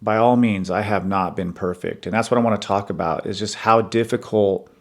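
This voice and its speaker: Earnest man in his fifties, low voice. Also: steady tone. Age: 50s. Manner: earnest, measured, conversational. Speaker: podcast host. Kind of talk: personal reflective monologue.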